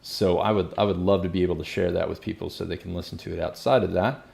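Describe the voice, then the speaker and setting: Low-voiced man, gravelly fry, podcast host, friendly questions. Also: steady tone, quick pace, a little mellow, slight background noise. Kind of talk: casual chat.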